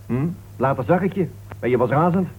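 A man's voice: mid-range voice, animated, fairly quick, close to an everyday voice. A man playing a grumbling, defensive crime writer in a Dutch radio play, protesting with questioning grunts. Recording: old radio recording, narrow tinny band, hiss.

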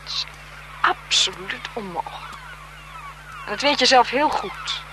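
A woman's voice: a little high, animated, unhurried. An actress playing a cool, haughty married woman with a condescending manner in a radio thriller. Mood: firm, dismissive. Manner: condescending, dismissive, dramatic. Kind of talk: 1970s Dutch radio thriller acting. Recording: noisy 1970s radio drama broadcast.

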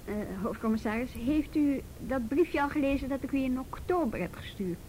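An actress playing an earnest, frustrated police inspector in a radio drama, polite and hesitant but insistent. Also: female voice, sits high, animated, normal speed, warm tone, low-quality recording. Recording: radio drama with faint background noise.

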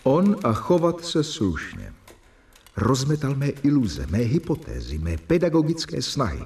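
A young assistant doctor who is worn down and exasperated, and he narrates in the first person. The delivery is earnest, with mid-range pitch and lively intonation. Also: vocal fry. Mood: reflective.

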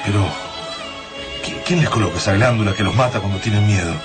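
An Argentine actor playing an earnest, compassionate resistance fighter in a science-fiction radio drama. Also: male voice, lowish voice, quick pace, natural voice. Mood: puzzled, insistent. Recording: very loud music behind the voice.

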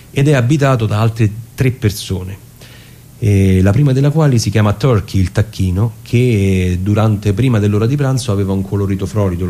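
A man informally retelling a literary story, haltingly and searching for words. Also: sits low, slight background noise. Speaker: radio performer summarising a story. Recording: radio broadcast with loud guitar music. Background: plucked guitar music.

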